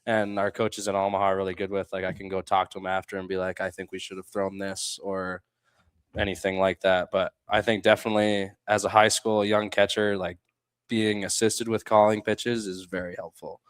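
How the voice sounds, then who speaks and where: A man's voice: low-pitched, level tone, fairly quick, clear, resonant tone, no room sound. A young man chatting as an interview guest, an athlete giving plain-spoken, laid-back answers. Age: young adult. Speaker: college baseball catcher, interview guest. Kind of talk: casual interview chat.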